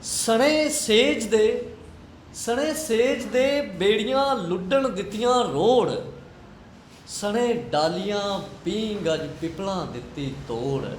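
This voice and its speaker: Male poetry reciter, slow and declamatory, very wide swings in pitch. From Pakistan. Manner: declamatory, repeats lines with rising and falling emphasis. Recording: echoey room with clear background noise.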